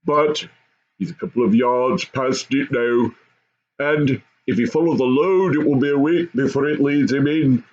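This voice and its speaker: A man doing a gruff old goblin father. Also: medium pitch, a little slow, darkish tone, highs cut off, lightly acted. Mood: practical, bossy. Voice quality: rough. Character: goblin father, gruff, practical, proud of his race.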